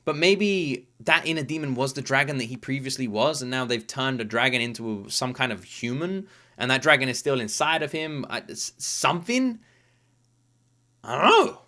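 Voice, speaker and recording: A man's voice: mid-range voice, expressive, normal speed, bright, forceful tone. A man hosting a reaction video, talking casually and emphatically with informal, sweary enthusiasm.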